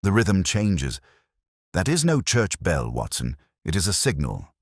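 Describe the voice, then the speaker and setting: Analytical male detective for audio story, read by a male narrator: very deep, crisp, commanding, clipped delivery. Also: wildly swooping pitch, dry recording, clean studio sound, heavily acted. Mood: grave, intent. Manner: clipped, deliberate, incisive.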